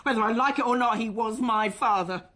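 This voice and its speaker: An actress in a radio drama playing a strained, defensive schoolteacher daughter being questioned by police, halting and bristling with emotion. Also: female voice, on the high side, a bit bright. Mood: bitter, defiant. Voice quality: husky. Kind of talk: naturalistic radio drama dialogue.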